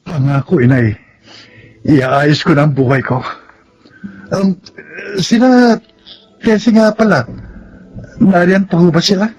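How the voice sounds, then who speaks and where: A man's voice: on the high side, slow, long pauses, darkish tone, slightly rough, rough recording. A man with a Filipino accent playing a remorseful, hopeful ex-convict father in a radio drama, earnest and respectful. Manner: earnest, respectful to his mother. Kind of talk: Tagalog radio drama acting.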